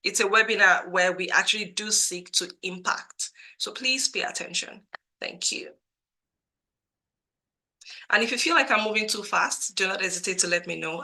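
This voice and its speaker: Bright-voiced woman with a cold, on a webinar. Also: fairly low pitch, poor audio. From Nigeria. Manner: earnest, repeats herself for emphasis. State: has a cold, voice a bit cracked. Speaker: webinar host and career coach. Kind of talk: live webinar presentation. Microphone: computer mic on a video call.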